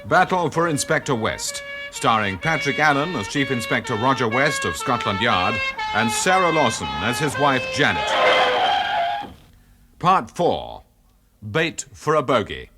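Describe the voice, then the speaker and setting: A man delivers a scripted, dramatic radio introduction. His middle-pitched voice moves expressively up and down. Clear theme music plays behind him. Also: big pitch swings, measured pace. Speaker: radio announcer. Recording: music under the voice.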